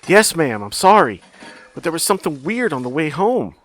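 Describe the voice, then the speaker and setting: A cocky, earnest teenage boy in an audio drama, protective of his mother. His voice is fairly high and very lively in pitch, with boyish, defensive eagerness. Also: moderate pace. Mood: excited, defensive.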